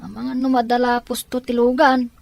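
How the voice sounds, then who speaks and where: A woman's voice: a little high, flat delivery, fairly quick, gravelly, a little mellow, dry recording. A woman playing a protective, cautious young boy, an older brother in an audio drama. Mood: worried. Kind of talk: amateur audio drama acting.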